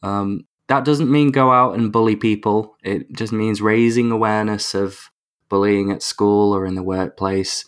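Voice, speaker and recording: A man's voice: lowish voice, fairly even intonation, normal speed. A man hosting a podcast in a casual, jokey way, sometimes stumbling over his words. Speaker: podcast host.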